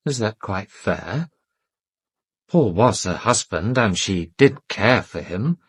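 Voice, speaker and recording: A well-educated English gentleman in a radio drama. His voice is fairly low and noticeably husky, recorded dry. He is polite but guarded and defensive, with a weary, wry self-deprecation. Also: animated, takes it slowly, no room sound, full character voice. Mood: shaken, guarded. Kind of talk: British radio drama acting.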